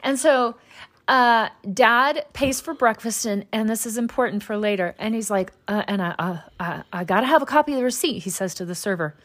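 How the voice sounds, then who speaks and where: A woman with a slightly high voice tells a long story in easy conversation. Her humor is dry and deadpan, and she keeps going through a steady stream of ums and uhs. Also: fairly quick. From Utah, US. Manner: wry, deadpan storytelling with fillers.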